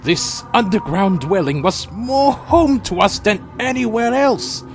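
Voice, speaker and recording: A man's voice: high-pitched, expressive, a little slow. A man playing a proud, defiant vampire clan leader in an audio drama, formal and theatrically intense. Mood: grave, resolute. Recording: dramatic music behind.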